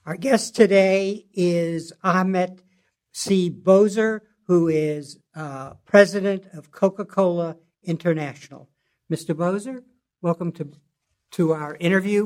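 Halting male interviewer. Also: high-pitched, fairly even intonation, a little mellow. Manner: halting, repeats words when asking questions. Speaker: interview host. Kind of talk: academic interview.

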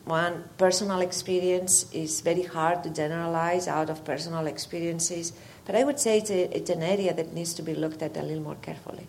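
A woman with a Greek accent and a slightly low voice speaks off the cuff as an academic in a public discussion. She phrases things carefully and hesitantly, over some background noise. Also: fairly even intonation, brisk, gravelly, hardly any pauses, darkish tone. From Greece. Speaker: university faculty member. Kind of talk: spontaneous comment in a public discussion.

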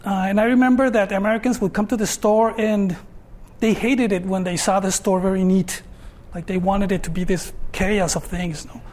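A man in his fifties with a Mexican accent talks about his work on stage. His voice sits high for a man. He pauses often and tags many of his phrases with a questioning "no?". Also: level tone, a little mellow. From Mexico, Tijuana. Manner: hesitant, filler-heavy, frequent tag "no?". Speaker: artist guest. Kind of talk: conversational talk on stage.

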